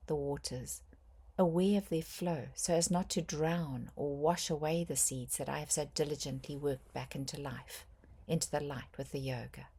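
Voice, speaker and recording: A woman reading a scripted guided meditation in a soft, breathy voice, with gentle, measured phrasing and frequent pauses. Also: low voice.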